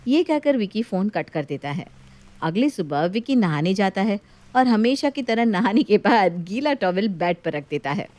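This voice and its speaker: A woman's voice: mid-range voice, big pitch swings, normal speed, darkish tone. A woman reading out scripted story narration as a storyteller.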